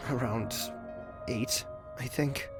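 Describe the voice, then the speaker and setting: Gravelly young prince, pensive man acting. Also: mid-range voice, takes it slowly, over music. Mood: reflective, hesitant. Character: pensive young royal heir, gentle but self-doubting. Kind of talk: audio drama acting.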